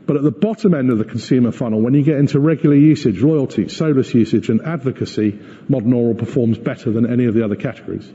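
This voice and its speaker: Very dark-voiced man presenting in echoey hall. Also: medium pitch, fast talker. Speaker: company executive presenting results. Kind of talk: corporate presentation with slides. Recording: muffled echoey hall recording.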